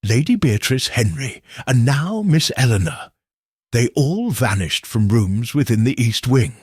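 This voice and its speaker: A man voicing an anxious, formal gentleman client in a Victorian mystery audiobook. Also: medium pitch, big pitch swings, a little slow, breathy edge, dry recording, clean studio sound. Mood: grave. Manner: hesitant, uneasy, formal.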